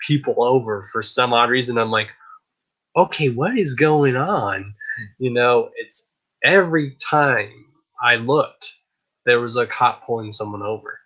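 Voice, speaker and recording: A man hosting a casual live stream show, chatting in a hyped, rambling way, his voice a little raspy. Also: mid-range voice, pushed and bright, dull audio. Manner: hyped, rambling, repeats "I kid you not". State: slightly raspy voice.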